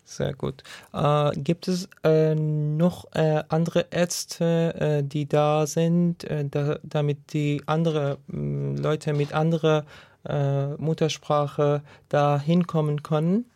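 A man interviewing a guest on the radio, speaking haltingly with many fillers. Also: a little high, flat delivery, vocal fry, darkish tone, clean tone.

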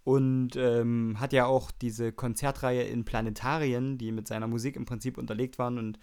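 A man's voice: medium pitch, level tone, normal speed, a little mellow, clear, resonant tone. Man, Saxon German accent, radio host, rambling casual chat, filler-heavy. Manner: chatty, rambling with many fillers and laughs.

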